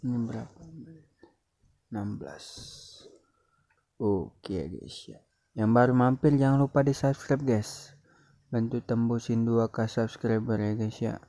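A young man from Indonesia, hoarse from a cough, chatting casually to viewers while commenting on a game replay. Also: medium pitch, measured pace, long pauses, dark tone, dry recording. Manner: slangy, chatty, keeps addressing viewers. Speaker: gaming YouTuber.

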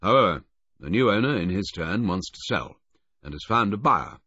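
A man's voice: on the low side, lively intonation, normal speed, bright, forceful tone, breathy edge, no echo, pitched above the performer's own voice, exaggerated voice. Brigadier, a narrator doing a stern military man. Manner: clipped, formal pronouncements. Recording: dull lo-fi, dry close audio.

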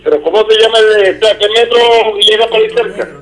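A man's voice: high-pitched, a little fast. A man with a Venezuelan accent in a casual phone conversation as a repairman, heard over a phone line. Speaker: air-conditioning repairman.

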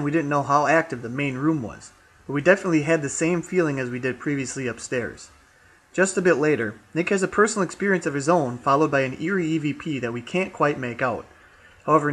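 A man's voice: on the high side, fairly quick, a bit bright, a little hiss or hum. A man narrating a paranormal investigation in a scripted, documentary style. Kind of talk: scripted narration of a ghost hunt.

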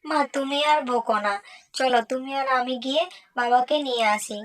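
A woman's voice: high voice, normal speed, a bit bright. A woman playing a sweet, coaxing young daughter in a fairy-tale cartoon.

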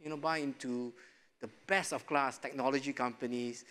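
A middle-aged man speaks as a panelist on a studio stage, recorded on a lapel mic. His talk is relaxed and loose, dotted with small chuckles and frequent filler phrases. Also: medium pitch, moderate pace. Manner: relaxed, chuckling, many "you know" fillers. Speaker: investment panelist. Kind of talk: panel discussion answer.

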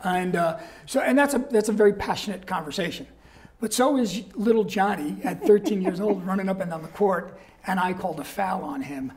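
An older man with a New York accent, a guest telling stories in a casual interview, animated and laughing often, acting out quoted voices. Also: high-pitched, a little fast. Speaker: guest, guitar company rep. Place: small studio set with backdrop.

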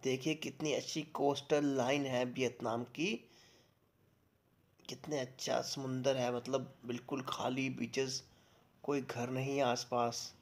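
A man's voice: medium pitch, little pitch movement, a little slow. A man giving a casual, enthusiastic voice-over to viewers about a train trip. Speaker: travel vlogger. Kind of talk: voice-over narration.

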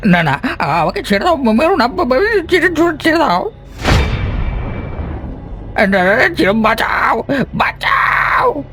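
High, stammering terrified villager. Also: male voice, big pitch swings, slow, long pauses, bright, forceful tone, faint music behind, full character voice. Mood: panicked. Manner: stuttering, panicky. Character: terrified stammering villager begging for his life. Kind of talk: horror cartoon voice acting.

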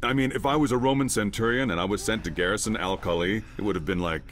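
A man's voice: fairly low pitch, fast, slight background noise, natural voice. An actor playing a bookish, easygoing soldier-historian in a video game, musing casually as he works things out. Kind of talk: video game cutscene acting.

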